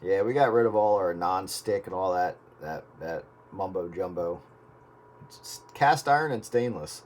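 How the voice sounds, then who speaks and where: A man's voice: deep voice, moderate pace, noisy background. A man chatting casually on the radio in a folksy, plain-spoken way. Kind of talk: casual chat over radio.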